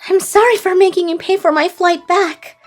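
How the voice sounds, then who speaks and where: Casting: actress as timid, sweet young woman, extremely high voice, manga romance drama, light music underneath. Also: fairly even intonation, normal speed. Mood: grateful. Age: young adult. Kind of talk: anime-like manga drama dialogue.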